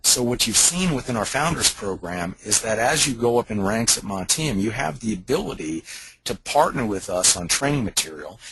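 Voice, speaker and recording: Husky man giving an emphatic pitch. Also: big pitch swings, moderate pace, fairly bright tone, dry recording, low-quality recording. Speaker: company leader pitching network marketing. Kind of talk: persuasive sales pitch on a conference call.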